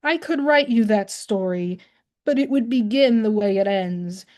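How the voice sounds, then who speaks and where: A woman's voice: medium pitch, unhurried, a little mellow. A woman who reads her poem and then chats plainly about her writing discipline. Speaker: poet reading at an open mic. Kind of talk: poetry reading and casual chat.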